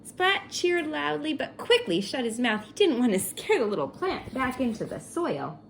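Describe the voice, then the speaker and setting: A woman reading a story aloud for children in an expressive, coaxing way, pausing for chatty teacherly asides. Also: sits high, creaky, a little hiss or hum. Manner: animated, coaxing, pausing to comment and wonder.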